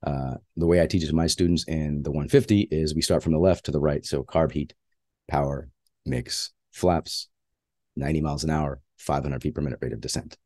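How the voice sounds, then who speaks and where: Man, flight instructor, very low dark voice, dry close sound, plain teaching tone, lots of uhs. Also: fairly even intonation, a little fast, dry recording. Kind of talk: slide lecture on flight training.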